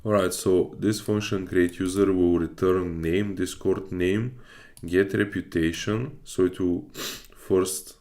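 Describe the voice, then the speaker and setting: A man's voice: sits low, fairly even intonation, takes it slowly, darkish tone. A man from Bulgaria livestreaming his study session, casually reading aloud and hesitantly thinking through it as he goes. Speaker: live streamer learning web development.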